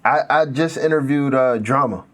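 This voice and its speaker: A man of middle pitch hosting a podcast, with a faint Pittsburgh accent. He talks in a relaxed, reflective way and often affirms his guest. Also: normal speed. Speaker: podcast host. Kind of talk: casual podcast conversation.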